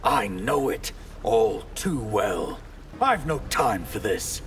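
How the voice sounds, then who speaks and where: Imperious warrior mage, lowish voice, measured slow delivery, proud, game dialogue. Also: male voice, very animated, distant mic, crowd noise. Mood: proud, defiant. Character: proud water mage warrior, calm and defiant. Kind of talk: video game character dialogue. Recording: music behind the voice.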